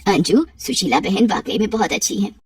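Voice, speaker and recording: A woman's voice: mid-range voice, animated, a little fast, darkish tone, husky. A woman voicing an anxious, caring elderly mother-in-law in a cartoon story. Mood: approving. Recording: roomy echo, distant from mic.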